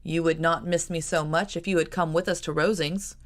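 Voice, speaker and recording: A woman's voice: low-pitched, steady tone, a little fast, lightly acted. Woman reading as a genial army colonel. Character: army colonel, a cheerful young gentleman.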